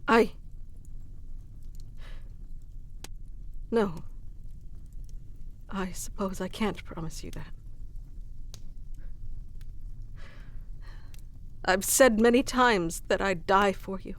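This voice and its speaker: A woman plays a lovestruck noblewoman from a fantasy audio romance. She speaks very slowly at a middling feminine pitch, with earnest devotion and a gently teasing streak. Her phrasing is formal and dramatic, like a storybook declaration. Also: close to an everyday voice. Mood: solemn, wistful. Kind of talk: ASMR romantic audio roleplay, scripted.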